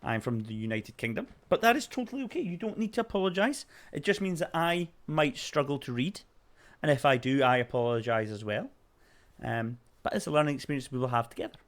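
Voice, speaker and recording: A man's voice: medium pitch, expressive, fairly quick. A Scottish man streaming a puzzle game, chatting casually, reading out chat messages and talking to his dog. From Scotland. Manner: chatty, reads chat aloud, coos at his puppy.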